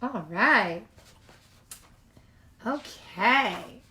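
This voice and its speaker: Slow-paced woman reading to children. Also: medium pitch. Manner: animated, expressive, addressing young children. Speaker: childcare storyteller reading aloud. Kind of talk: children's picture book read-aloud with casual asides.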